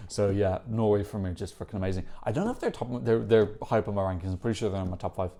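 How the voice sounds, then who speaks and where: A man's voice: lowish voice, lively intonation, rapid-fire, mellow. A man hosting a Eurovision reaction video, giving chatty, opinionated commentary and correcting himself as he goes. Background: faint music from the songs being reacted to.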